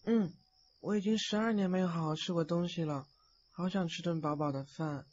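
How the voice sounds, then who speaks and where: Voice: medium pitch, fairly even intonation, a little fast, darkish tone, slight background noise, close to an everyday voice. An actor playing a timid, naive, hungry teenage boy ghost in an audio drama, with a meek, hesitant manner. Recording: slightly dull recording with music behind.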